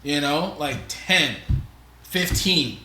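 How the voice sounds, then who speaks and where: A man's voice: on the high side, lively intonation, extremely slow, bright, forceful tone, reverberant, a little hiss or hum. A man in his twenties, co-hosting a podcast in casual chat, rambling with lots of fillers. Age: 20s. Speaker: podcast co-host.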